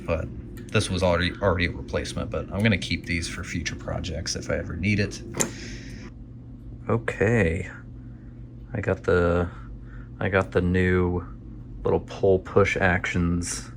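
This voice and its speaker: A man's voice: low-pitched, steady tone, unhurried, long pauses. A man narrating a casual hands-on restoration vlog as he works in a small workshop. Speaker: video host and radio restorer. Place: tiny home workshop. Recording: clear background noise.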